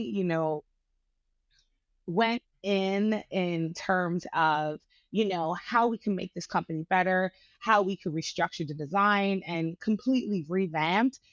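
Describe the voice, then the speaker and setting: Energetic New woman, chatty. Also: on the low side, no echo. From Brooklyn, New York. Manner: energetic and chatty, with frequent fillers. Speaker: dentist guest. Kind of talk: casual interview.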